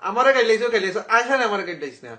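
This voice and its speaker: A man's voice: high-pitched, expressive, racing, pushed and bright. A young man with a Sylheti accent making a prank phone call, arguing in a cheeky, provoking way. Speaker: prank caller, speaking as a daughter's suitor. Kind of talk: teasing phone argument.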